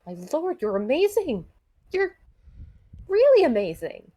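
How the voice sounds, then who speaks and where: A woman voicing a timid, earnest, excitable innocent in a visual novel, hesitant and wide-eyed. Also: high-pitched, unhurried, voice pushed up, full character voice. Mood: awed.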